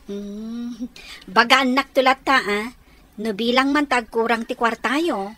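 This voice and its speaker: An actress with an Ilocano accent playing a helpful, chatty older sister in a radio comedy drama. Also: female voice, a little high, takes it slowly, bright, forceful tone, a little hiss or hum.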